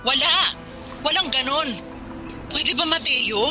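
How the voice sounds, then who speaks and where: A woman's voice: high voice, takes it slowly. An actress playing a stern, practical Filipino seaman's wife in a radio drama, firm and exasperated with her husband. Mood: firm, scolding. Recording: loud music under the voice.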